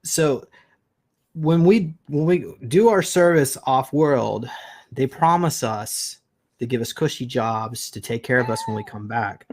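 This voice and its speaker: Casual male interviewer, mid-pitched voice. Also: lively intonation, measured pace.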